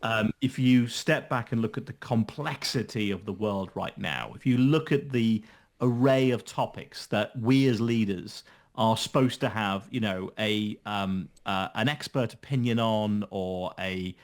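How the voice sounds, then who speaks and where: A man being interviewed as a company founder, talking in an animated, emphatic way full of rhetorical questions and restarts. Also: on the low side, measured pace. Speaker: guest, company founder and CEO. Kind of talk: conversational interview answers.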